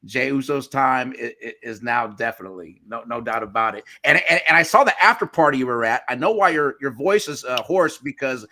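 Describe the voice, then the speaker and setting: Hype podcast co-host guy, bright tense voice, trips over words a bit. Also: mid-range voice, lively intonation, brisk, hardly any pauses. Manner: enthusiastic, hype-style intros, stumbles on words. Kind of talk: casual podcast hosting.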